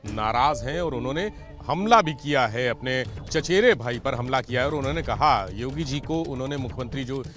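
A man's voice: mid-range voice, animated, normal speed, a bit bright. A middle-aged man giving a live unscripted field report outdoors into a handheld microphone, explaining and repeating words. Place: outdoors on a city street.

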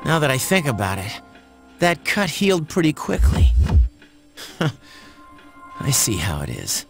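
A man playing a brash, emotional teenage boy in a video game, talking in a slangy, expressive, defensive way. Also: on the high side, slow pace, gravelly, over music, exaggerated voice. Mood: wounded, defensive.

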